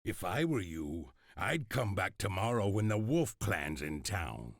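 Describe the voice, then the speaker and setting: A man's voice: low-pitched, sing-song, a little slow, no echo, clean studio sound, full character voice. An actor playing a wary, cynical townsman in a video game cutscene. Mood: wary, offhand. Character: wary, cynical town local warning outsiders.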